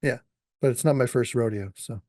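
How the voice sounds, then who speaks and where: A man from eastern Canada hosts a casual podcast, talking in a loose, unhurried way with plenty of fillers and easy laughter. His voice sits mid-range for a man and the sound is dry, with no room around it. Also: mid-range voice, a little mellow, no room sound. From New Brunswick, Canada. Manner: easygoing, many ums and uhs, laughs often. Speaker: podcast host. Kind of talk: casual interview chat.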